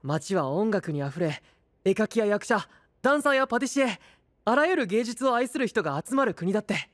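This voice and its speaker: Kind, dependable young anime knight, earnest delivery with lively pitch movement. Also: male voice, a little fast, dry recording, clean studio sound. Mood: steady. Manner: sincere, clear, encouraging. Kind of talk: anime-style audio drama acting.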